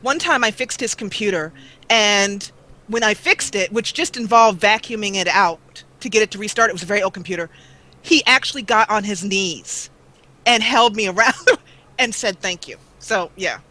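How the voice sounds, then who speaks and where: A young woman YouTuber chatting as an interview guest over a webcam call, talkative and quick to laugh at herself. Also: medium pitch, pushed and bright, poor audio. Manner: chatty, laughs often, self-mocking. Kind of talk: casual interview chat.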